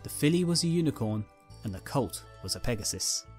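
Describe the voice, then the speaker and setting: A woman's voice: rumbling low, expressive, fairly quick, a little mellow, faint music behind. A woman narrating a scripted fan fiction story as its reader.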